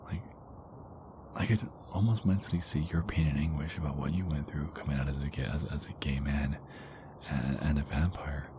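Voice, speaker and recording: A man playing a big, affectionate werewolf boyfriend in an intimate ASMR roleplay, murmuring hesitantly and often slipping into breathy whispers. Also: very deep voice, steady tone, normal speed. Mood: soft, nostalgic. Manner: hesitant, rambling, murmured pillow talk. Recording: clearly noisy background ambience.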